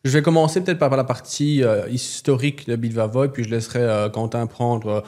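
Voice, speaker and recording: A man who is not a native French speaker, a company representative in a business interview, speaking haltingly and searching for words. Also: medium pitch, fairly even intonation, a little mellow.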